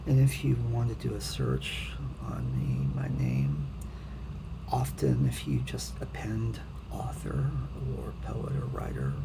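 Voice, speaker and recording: Gravelly, slow-paced man with heavy vocal fry. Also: fairly low pitch, sing-song, whispered. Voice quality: gravelly, creaky. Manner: hesitant, self-deprecating when talking to viewers. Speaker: author reading his own poetry. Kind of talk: dramatic poetry reading, then casual rambling talk to viewers. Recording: clearly noisy amateur home recording.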